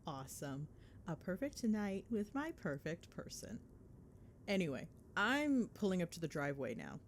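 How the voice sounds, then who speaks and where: Young girlfriend played by a woman, ASMR roleplay call: excitable, playful, lively swooping intonation, affectionate teasing. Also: fairly low pitch, normal speed, slight background noise. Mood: warm, cheerful. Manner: playful, chatty, expressive, mildly sweary.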